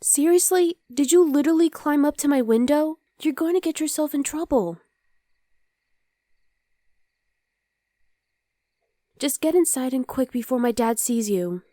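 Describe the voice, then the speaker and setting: A woman playing a young demon girlfriend in an ASMR roleplay, sweet but exasperated and rebellious, speaking intimately and softly to the listener as her partner. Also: high-pitched, no room sound, clean studio sound. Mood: startled, urgent. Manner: intimate, soft, often dropping to a whisper.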